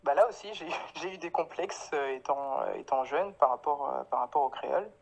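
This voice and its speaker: A woman's voice: low voice, brisk, creaky, breathy edge, low-quality recording. A woman from Paris, answering casually in an interview, feeling her way through sentences with frequent self-corrections. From Paris, France, of Guadeloupean family. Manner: hesitant, self-correcting, informal, trailing phrases. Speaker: interview guest, founder of a clothing brand.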